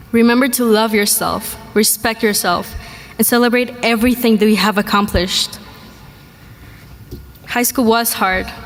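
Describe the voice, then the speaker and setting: A teenage girl from Mexico, a graduating student, giving an earnest, measured commencement speech at a podium in a large hall. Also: fairly high pitch. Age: teens. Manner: earnest, measured, slow delivery with pauses. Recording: reverberant hall, faint background noise.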